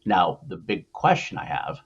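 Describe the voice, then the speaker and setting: A man's voice: low voice, very animated, normal speed, creaky, husky, dull audio. A man hosting a casual film discussion podcast, talking in an emphatic, rambling, sweary and opinionated way. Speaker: podcast host.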